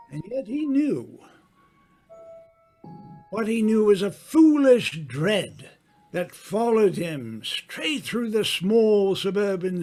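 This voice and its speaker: A man narrating an old-time radio mystery in a dramatic, deliberate storytelling manner. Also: high voice.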